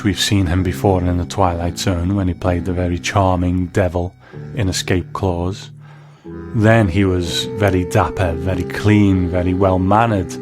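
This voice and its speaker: A man hosting a review show, giving scripted commentary in a measured, explanatory way. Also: low-pitched. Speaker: host of a television review show. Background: plucked guitar music.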